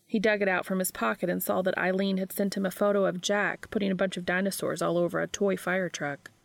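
A woman's voice: medium pitch, little pitch movement, brisk, vocal fry, no echo. A woman reading a scripted story aloud as an audiobook-style narrator. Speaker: fan fiction narrator.

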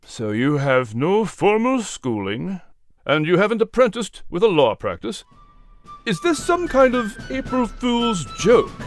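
An actor playing a stern, skeptical colonial gentleman with a formal, probing manner in an audiobook. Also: high voice, wildly swooping pitch, unhurried, faint music behind, full character voice. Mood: incredulous. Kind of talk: audiobook character acting.

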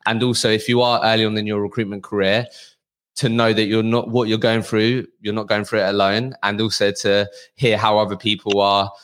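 A man's voice: lowish voice, level tone, fairly quick, a bit bright. A man hosting an interview podcast, talking conversationally with restarts and fillers. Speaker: podcast host.

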